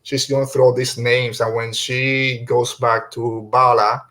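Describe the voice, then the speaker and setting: A man's voice: medium pitch, level tone, measured pace, sharp tone. A man hosting a casual podcast review, retelling things in an informal, rambling way. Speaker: podcast host.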